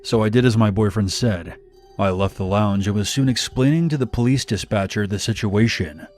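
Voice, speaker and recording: Male horror narrator, lowish voice, scripted first-person storytelling, music underneath. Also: normal speed, darkish tone. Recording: music bed behind narration. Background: background music.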